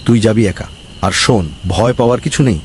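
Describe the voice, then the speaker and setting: A man's voice: mid-range voice, fast talker, slight background noise, lightly acted. A man voicing a greedy, scheming village council member in a cartoon, bossy and scolding. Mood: scolding, commanding. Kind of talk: cartoon voice acting.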